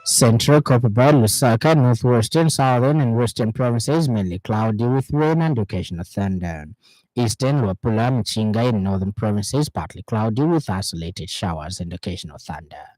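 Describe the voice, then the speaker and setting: A man with a Zambian accent reading a scripted radio news bulletin in a formal, measured way. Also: fairly low pitch, animated, a little mellow, no echo, poor audio. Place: radio studio.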